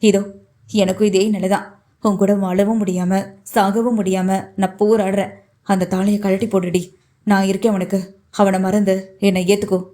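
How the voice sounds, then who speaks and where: A woman's voice: mid-range voice, little pitch movement, normal speed, mellow, pitched below the performer's own voice, close to an everyday voice. A woman reading an audiobook, voicing a hot-tempered young man who pleads and then roars. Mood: insistent. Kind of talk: audiobook character voice.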